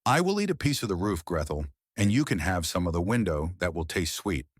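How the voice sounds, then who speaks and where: A man's voice: deep voice, very animated, brisk, breathy edge, no room sound, clean studio sound, exaggerated voice. A man voicing innocent young children in a storybook tale, speaking plainly and earnestly. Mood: eager. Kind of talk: storybook character voice.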